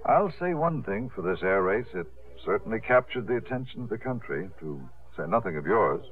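An actor playing a suave, devoted theatre gentleman in a 1950s radio drama, heard on a tinny broadcast recording. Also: on the low side, sing-song, brisk. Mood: wry, earnest.

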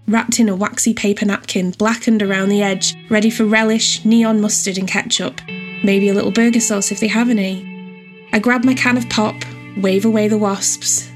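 A woman reads a scripted personal essay in a measured, descriptive storytelling manner. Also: medium pitch. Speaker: writer and editor reading own essay.

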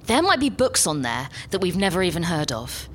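Lively, scheming spaceship captain. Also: female voice, on the low side, brisk. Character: scheming, broke female spaceship captain, brisk and tactful. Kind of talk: live sci-fi comedy audio drama acting. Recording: live stage recording with faint noise.

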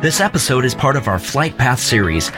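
A man reads a scripted podcast intro in a smooth, announcing style. His pitch moves in a lively way, and guitar music plays clearly beneath him. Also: brisk.

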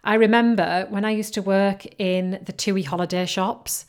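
A woman's voice: mid-range voice, fairly even intonation, normal speed, clear, resonant tone. A woman with a Northern English accent mixed between Yorkshire and Geordie, hosting a solo podcast as a business coach, upbeat and encouraging, often repeating key phrases. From North East England coast.

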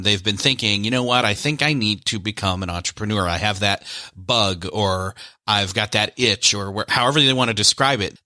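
Low-voiced male podcast host, rambling. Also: pushed and bright, dry recording, clean studio sound. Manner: rambling, thinks aloud with restarts. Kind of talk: conversational interview and read ad.

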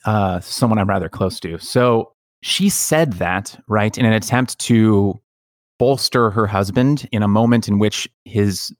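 Low-voiced reflective man, closely recorded. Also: no room sound, clean studio sound. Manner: earnest, reflective, halting with pauses and sighs. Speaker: podcast host. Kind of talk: personal storytelling in conversation.

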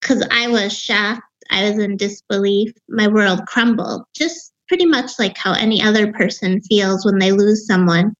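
A woman in her fifties with a Wisconsin accent and a young-sounding voice, telling her own story as an interview guest in a reflective way, with halting restarts. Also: mid-range voice, steady tone, lo-fi sound. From Wisconsin, USA. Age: 50s. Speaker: author and grief guest.

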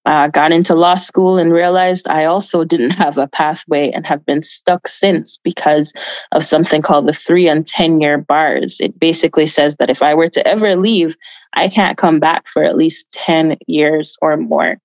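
A woman's voice: sits low, steady tone, moderate pace, no room sound, muffled recording. A woman from Jamaica giving earnest, emphatic answers as an activist guest in an interview, repeating phrases for stress.

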